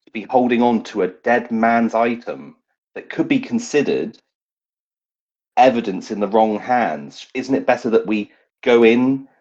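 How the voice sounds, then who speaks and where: A man's voice: mid-range voice, lively intonation, measured pace, a little mellow, dull audio. A man playing an earnest, slightly pompous would-be knight in a tabletop game, pleading his case. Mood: insistent.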